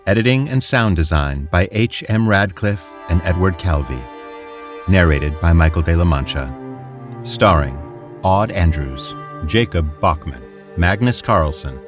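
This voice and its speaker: A man reading scripted credits as an announcer for an audio production. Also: sits low. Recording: music behind the voice. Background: music.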